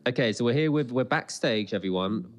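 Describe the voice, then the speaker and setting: A man chatting casually as a podcast co-host commenting on a TV episode, in an excitable, rambling, jokey way. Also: mid-range voice, a little fast.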